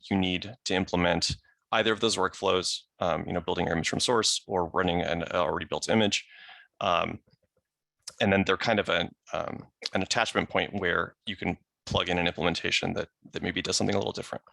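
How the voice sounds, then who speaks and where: A man's voice: sits low, steady tone, fairly quick, creaky, sharp tone, dry recording. A man giving an informal technical presentation on a video call, explaining things casually with small asides. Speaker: software engineer presenting.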